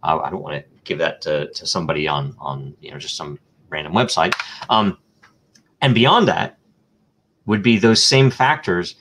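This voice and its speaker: Deep-voiced guy on a live interview, lots of um and uh, restarts his sentences, walks through examples. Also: normal speed, fairly bright tone, a little hiss or hum. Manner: thinks aloud with frequent ums, uhs and restarts. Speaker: guest explaining technology. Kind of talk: live online interview answers.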